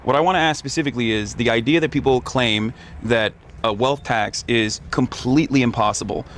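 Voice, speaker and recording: A man's voice: mid-range voice, a little fast, a little hiss or hum. A man streamer interviewing a guest in a friendly, loose way, with plenty of "uh" and "um". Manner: friendly, loose, hesitant fillers. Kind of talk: casual interview.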